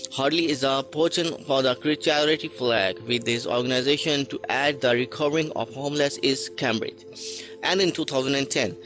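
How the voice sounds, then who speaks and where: Guy reading a list script kinda haltingly, restarts a lot, faint music underneath. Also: mid-range voice, low-quality recording. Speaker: narrator. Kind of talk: read-aloud list narration.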